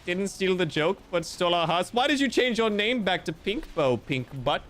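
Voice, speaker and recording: A man's voice: sits high, very animated, normal speed, a bit bright. A man streaming live, reading out viewer comments in a playful, theatrical way with mock-grandiose joking. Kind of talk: casual livestream chat reading viewer comments.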